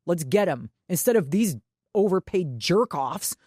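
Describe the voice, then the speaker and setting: A woman co-hosting a podcast with casual, opinionated sports talk, blunt and indignant in manner and quick to swear. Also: on the low side, expressive, dark tone, no echo, studio quality. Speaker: podcast co-host.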